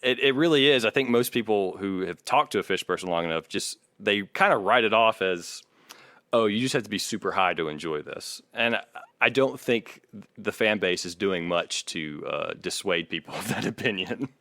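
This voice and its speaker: A man co-hosting a podcast, talking casually in a measured, hesitant way and sometimes reading aloud. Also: fairly low pitch, lively intonation, a bit bright, no room sound, studio quality. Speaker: podcast co-host.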